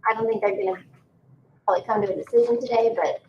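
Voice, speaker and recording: A woman's voice: on the high side, fairly even intonation, quick pace, mellow, a little hiss or hum. Woman speaking from afar in an echoey room. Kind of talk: informal discussion in a public meeting. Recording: echoey, distant, muffled meeting recording.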